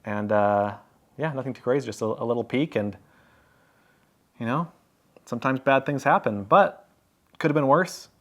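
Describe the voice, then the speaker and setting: A man's voice: mid-range voice, moderate pace, long pauses, fairly bright tone. A man vlogging to camera, telling a story in a casual, rambling way, full of fillers and restarts. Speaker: travel vlogger.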